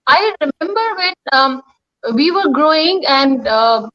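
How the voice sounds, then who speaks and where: A woman in her fifties from India joins the discussion as a guest over a video call. Her voice is high, and she speaks in a measured way, pausing with fillers. The call audio comes through dull and lo-fi. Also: lively intonation, pushed and bright. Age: 50s.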